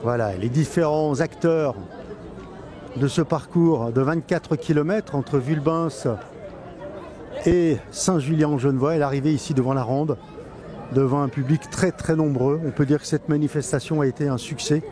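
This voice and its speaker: A man with a slightly high voice reports live from an outdoor event. His commentary is halting, with pauses and repeated phrases as he describes the scene. A busy crowd surrounds him. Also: mellow. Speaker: event reporter and club president doing live coverage. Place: outdoors in a town street among a crowd. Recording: outdoor live recording amid crowd noise. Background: crowd and street sounds.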